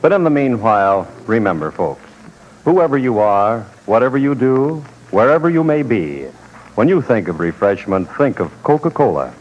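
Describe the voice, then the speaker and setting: A man's voice: mid-range voice, sing-song, moderate pace. A man announcing a sponsor message in the polished style of a 1940s radio show. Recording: dull, faintly noisy 1940s radio broadcast.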